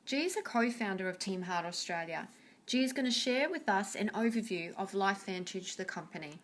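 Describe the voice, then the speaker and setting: A woman's voice: medium pitch, lively intonation, brisk. Woman hosting a webinar welcome. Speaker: webinar host. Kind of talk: scripted webinar introduction.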